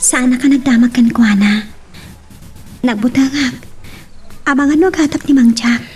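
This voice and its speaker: Woman playing a mellow, high-voiced, hesitant young Ilocana. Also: steady tone, moderate pace, faint music behind, natural voice. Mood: worried. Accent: Ilocano, Philippines. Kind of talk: radio romance drama acting.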